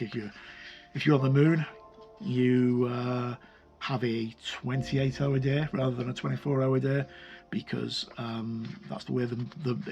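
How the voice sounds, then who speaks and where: A man's voice: mid-range voice, vocal fry, darkish tone. A man hosting a game review, talking casually and unscripted, rambling and hesitant as he pages through a book. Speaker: host of a tabletop game review channel.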